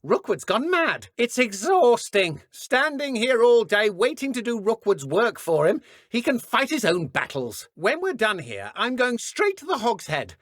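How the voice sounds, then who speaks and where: A cruel British dark witch from a fantasy video game, with very lively, swooping pitch. She is smug and theatrical, mocking whoever faces her. The recording is close and dry. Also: unhurried, hardly any pauses, no echo. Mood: weary grumbling. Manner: taunting, theatrical, condescending. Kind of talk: villain combat and idle barks for a fantasy game.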